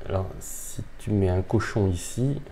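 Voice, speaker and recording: A man's voice: low voice, unhurried, mellow. A man presenting and playing a board game in a casual, unscripted demonstration, thinking aloud hesitantly as he explains. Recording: background noise, room ambience.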